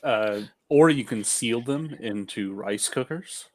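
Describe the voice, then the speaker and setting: Male podcast participant, mid pitch, unhurried slow pace, casual interjected quips.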